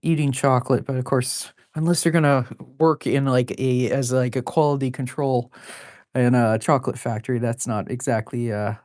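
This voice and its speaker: A woman in her forties with a low, deep voice, chatting casually while drawing live. She rambles, laughs often, and fills pauses with plenty of ums and uhs. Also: steady tone, a little mellow, dry recording, studio quality. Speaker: artist drawing live on stream.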